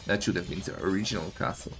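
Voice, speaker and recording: A man giving excitable, casual live esports commentary, full of exclamations and questions. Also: deep voice, fast, over music.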